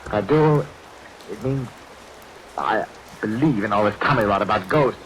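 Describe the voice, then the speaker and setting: Man playing deep, stubborn skeptic, vintage radio play. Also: normal speed, natural voice. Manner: dismissive, blustering, insistent. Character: stubborn, skeptical husband dismissing ghostly curses. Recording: noisy old radio recording, rain sounds. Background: rain.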